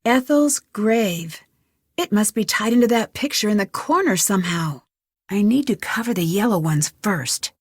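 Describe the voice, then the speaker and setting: A woman's voice: medium pitch, lively intonation, moderate pace, breathy edge, no echo, studio quality. A young woman playing a curious, plucky amateur detective in an adventure video game, thinking aloud to herself. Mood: slow, reading aloud. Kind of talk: scripted adventure game voice lines.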